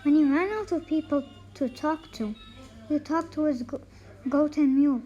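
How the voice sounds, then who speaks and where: A woman reading a children's folktale aloud, haltingly, stumbling over words and repeating them. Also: high voice, warm tone, dull audio, faint music behind. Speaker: storybook reader.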